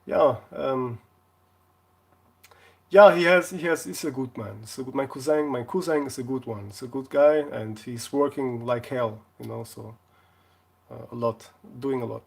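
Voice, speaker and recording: A man from Portugal, chatting casually on a live stream in a jokey way, often halting to search for words. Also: mid-range voice, gravelly, breathy edge. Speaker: live streamer, console repair video maker.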